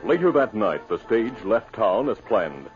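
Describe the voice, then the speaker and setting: An American man giving measured, suspenseful scripted narration in a dramatic 1950s radio style. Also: on the low side, very animated, loud music behind the voice. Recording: noisy, muffled old radio recording.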